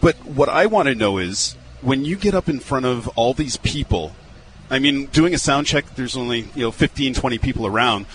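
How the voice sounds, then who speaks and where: A man's voice: mid-range voice, expressive, normal speed, a bit bright, a little hiss or hum, poor audio. A male radio host chatting upbeat and casual in a live interview at an outdoor fairground. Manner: upbeat, chatty, repeats words.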